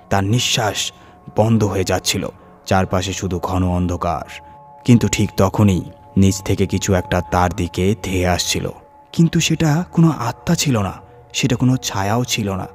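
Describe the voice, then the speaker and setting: A man narrates a horror tale in a dramatic, scripted manner. His voice sits low in pitch, and music runs behind him as he tells the story. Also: a little mellow.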